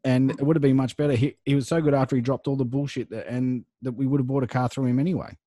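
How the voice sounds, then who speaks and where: A man's voice: mid-range voice, fairly even intonation, fast, warm tone, no room sound. A man hosting a podcast, easygoing and affirming, telling stories in casual chat on a close studio mic. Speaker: podcast host. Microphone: studio microphone close up.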